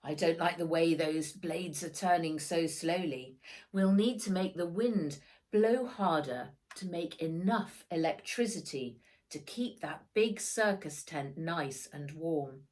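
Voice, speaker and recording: A woman voicing a kindly old seafaring grandfather in a children's storybook, hearty and gently teasing. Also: low voice, slow pace. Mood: concerned. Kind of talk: children's storybook read-aloud.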